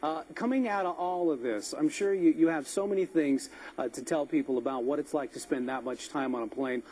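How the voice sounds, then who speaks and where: Male TV anchor, husky and mellow, friendly delivery. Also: a little high, a little fast. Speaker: TV news anchor. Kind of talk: live broadcast interview.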